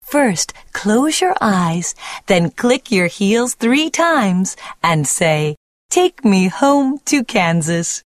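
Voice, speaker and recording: A woman voicing a kind, gentle young good witch in a storybook, gracious and soothing in manner. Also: mid-range voice, big pitch swings, very slow, no room sound, studio quality, voice pushed up. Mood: gentle, instructing.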